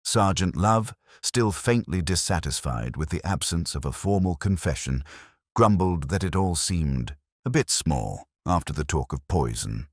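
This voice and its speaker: A male narrator reads a mystery aloud in a wry, stately storytelling manner. His voice is extremely deep yet lively in its pitch. The recording is dry and close, with no room sound. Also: a little slow, no echo. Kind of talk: scripted audiobook narration.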